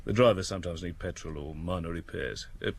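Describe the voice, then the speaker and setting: An actor playing an affable, obliging garage owner in an audio drama, with a familiar, slightly hesitant politeness. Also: male voice, low-pitched, fast talker, creaky, husky, full character voice.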